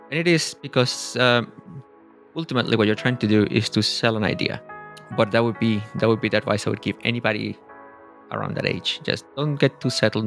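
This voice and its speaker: A man's voice: lowish voice, vocal fry. A man in his forties from Venezuela, a guest in a casual interview, talking thoughtfully and rambling with many restarts.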